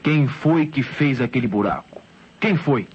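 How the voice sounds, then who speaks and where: A man's voice: mid-range voice, expressive, a little slow, over loud music, natural voice. A Brazilian man playing an insistent, dramatic witness in an old radio mystery. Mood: insistent, ominous. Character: insistent man warning an inspector of a monster. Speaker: radio actor. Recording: dull, faintly noisy old radio recording.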